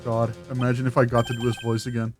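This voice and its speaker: A male game streamer chats casually with his friends between lines of play. His pitch sits in the middle, and faint game music runs behind him. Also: monotone, fast. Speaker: streamer voicing a game's characters. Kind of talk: casual gaming chat.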